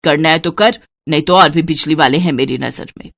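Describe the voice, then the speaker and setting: Woman playing a low-voiced, bossy miserly mother-in-law. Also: fairly quick, gravelly, a bit bright, dry recording, heavily acted. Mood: annoyed, firm. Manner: scolding, commanding, stingy.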